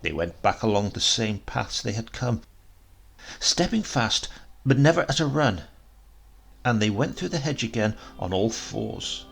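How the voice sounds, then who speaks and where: Gravelly-voiced man reading a story. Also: on the low side, lively intonation, unhurried, fairly bright tone. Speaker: story reader, narrator. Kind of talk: scripted literary narration, audiobook reading. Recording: faint background noise.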